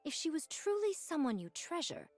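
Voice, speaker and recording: Game role, severe old-fashioned warrior woman; lofty archaic phrasing, lively melody, close dry sound over music. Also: high-pitched, normal speed, dry recording. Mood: reproachful. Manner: archaic formal diction, probing and reproachful. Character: stern woman warrior with archaic, formal speech. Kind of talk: scripted game voice acting.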